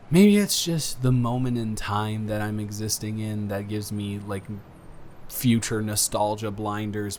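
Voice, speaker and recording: A young man playing an earnest, awkward college student smitten with a dormmate, rambling and halting in an intimate roleplay audio drama. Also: on the low side, a little hiss or hum, natural voice. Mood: awed, reflective. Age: young adult.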